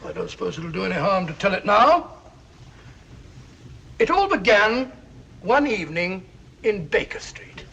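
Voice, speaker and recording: Casting: self-important older English duffer, 1940s film, creaky voice, very animated storytelling, slight soundtrack hiss. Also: male voice, sits high, measured pace, pauses often, highs cut off, heavily acted. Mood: jovial, self-important. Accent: British. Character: jovial, bumbling older English gentleman, fond storyteller. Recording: noisy old film soundtrack.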